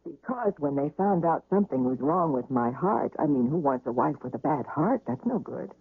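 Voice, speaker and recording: Weary despairing wife, radio drama, creaky mournful voice, roomy lo-fi recording. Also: female voice, sits low, animated, full character voice. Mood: anguished, pleading. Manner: mournful, yearning, deliberate. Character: weary, despairing wife recovering from heart surgery. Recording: roomy, band-limited old radio recording.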